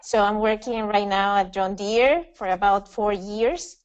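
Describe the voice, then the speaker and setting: A woman from Peru, a customer experience supervisor, introducing herself eagerly in slightly halting English. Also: medium pitch, clean tone, highs cut off.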